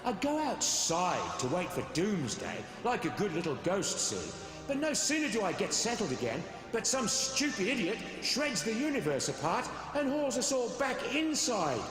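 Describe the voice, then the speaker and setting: A man's voice: high-pitched, huge pitch swings, a little slow, heavily acted. A man playing the bitter, aggrieved ghost of a young blacksmith in a video game, sulky and complaining. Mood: bitter self-pity. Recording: long cavernous reverb, game music behind.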